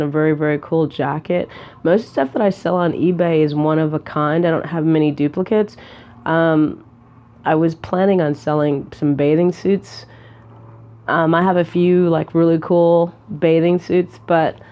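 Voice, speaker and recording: Woman in her 40s, raspy from a cold, rambling vlog chat lying in bed, lots of ums. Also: sits low, mellow. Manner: rambling, many fillers and restarts. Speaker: vlogger. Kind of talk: casual chat to camera. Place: hotel room, lying on a bed.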